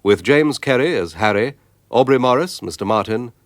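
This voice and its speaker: A man reading the closing credits of a radio drama in a measured, formal manner. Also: mid-range voice, lively intonation, no room sound, studio quality. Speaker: announcer.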